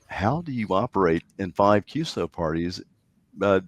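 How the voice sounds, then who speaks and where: A man with a very low voice chats as a podcast guest, stopping and restarting his sentences often with plenty of ums. The sound is dry and close, with no room around it. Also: animated, dry recording. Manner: halting, many fillers and restarts. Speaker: guest, contest organizer. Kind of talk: casual podcast chat.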